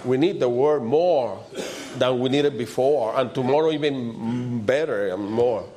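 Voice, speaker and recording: A man with a loud voice and preaches in an informal, conversational way, repeating short phrases for emphasis. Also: mid-range voice, measured pace. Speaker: preacher leading a church service.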